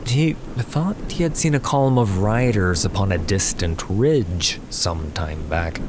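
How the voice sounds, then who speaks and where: Slow, deep-voiced man narrating fantasy. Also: background noise. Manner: measured, solemn storytelling with weighted pauses. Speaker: audiobook narrator. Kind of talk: scripted dramatic fantasy narration. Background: background music.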